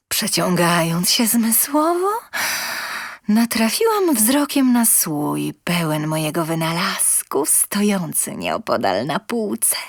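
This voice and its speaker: A woman playing a self-satisfied, sensual lonely inventor, telling her comic tale in a theatrical, expressive manner. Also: medium pitch, dry recording, clean studio sound, full character voice. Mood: sensual, tender. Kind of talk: dramatic comic story narration.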